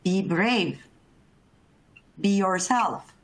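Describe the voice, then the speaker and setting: A woman's voice: medium pitch, expressive, very slow. A woman from Turkey with a Turkish accent, a panelist speaking in short plain sentences with long hesitations.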